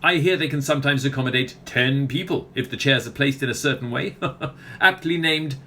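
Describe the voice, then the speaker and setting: A man's voice: medium pitch, a little fast, pushed and bright, slight background noise. Man playing a pompous, grandiose author. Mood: grandly delighted. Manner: grandiose, wordy, theatrical. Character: pompous, self-important touring book author. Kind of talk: comic radio play acting.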